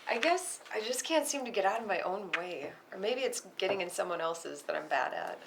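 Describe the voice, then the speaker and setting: A woman's voice: mid-range voice, lively intonation, brisk, gravelly, noisy background. A woman acting a restless, teasing and reckless friend in a conversational film script read, recorded live in a room. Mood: restless, earnest.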